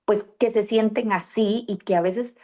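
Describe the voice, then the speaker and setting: A woman's voice: medium pitch, steady tone, unhurried, mellow. A woman hosting a podcast interview on raising bilingual children, speaking reflectively with many fillers and restarts. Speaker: podcast host and bilingual parenting coach. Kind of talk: conversational interview.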